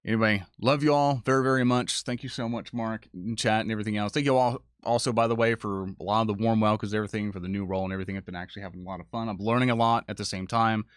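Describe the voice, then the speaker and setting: Guy hosting a livestream, talks really fast, rambly and super grateful, dry close sound. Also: on the low side, fairly even intonation, clear, resonant tone, dry recording. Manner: effusive, rambling, thankful. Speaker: stream host. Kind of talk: casual livestream chat, addressing viewers.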